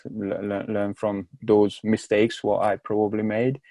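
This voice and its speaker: A man's voice: lowish voice, completely flat delivery, a little slow, warm tone, no echo. A man in his thirties from Hungary with a Hungarian accent, speaking English as a second language and telling his story as a podcast guest, often repeating words as he searches for them. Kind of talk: casual interview talk.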